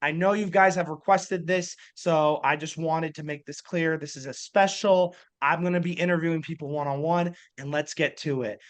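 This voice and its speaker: A man's voice: a little high, fairly even intonation, moderate pace, few pauses. A man hosting a podcast interview, asking eager, loose run-on questions. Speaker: podcast host.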